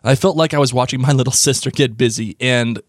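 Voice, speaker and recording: A man's voice: mid-range voice, quick pace, no room sound, studio quality. A man from Denmark co-hosting a fan podcast, reading listener emails aloud and joking between them. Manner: jokey, laughs often, animated reading. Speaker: podcast co-host. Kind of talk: casual chat, reading listener feedback aloud.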